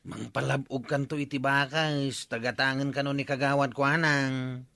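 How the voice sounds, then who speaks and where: A man's voice: medium pitch, flat delivery, moderate pace, vocal fry, bright, forceful tone, dry recording. A man playing an eager, scheming village man with an Ilocano accent in a radio comedy drama.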